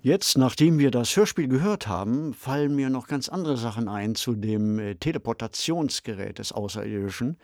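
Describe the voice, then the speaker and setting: A man co-hosting a podcast discussion with a creaky voice, engaged, questioning and emphatic. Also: mid-range voice, expressive, normal speed, hardly any pauses, darkish tone, no echo. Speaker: podcast co-host. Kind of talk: conversational discussion.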